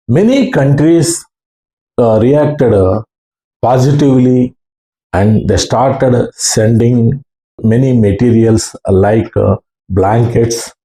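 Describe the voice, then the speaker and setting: A man with an Indian English accent speaks slowly in a roomy, echoey space. His phrasing is formal and halting, with a mellow tone, as he tells a first-person account. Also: mid-range voice. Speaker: ham radio operator recounting experiences. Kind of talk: slow first-person storytelling talk.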